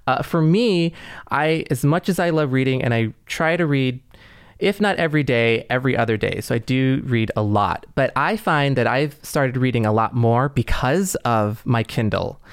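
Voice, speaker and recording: Male podcast co-host, crisp medium-pitched voice, conversational and informal. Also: normal speed, no echo, studio quality. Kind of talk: casual conversational discussion.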